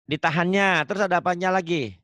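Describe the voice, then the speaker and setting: A man's voice: sits high, fast talker, a bit bright, no room sound. A man teaching Quran recitation online, patiently drilling pronunciation and laughing often. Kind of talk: online tajwid lesson.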